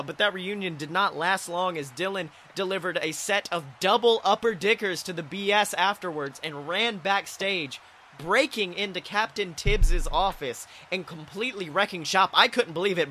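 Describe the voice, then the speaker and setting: Man acting bright, high-voiced wrestling commentator. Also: normal speed, slight background noise. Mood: earnest, dramatic. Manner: dramatic, announcerly, easily outraged. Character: excitable, earnest male wrestling show commentator. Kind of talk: sports entertainment commentary.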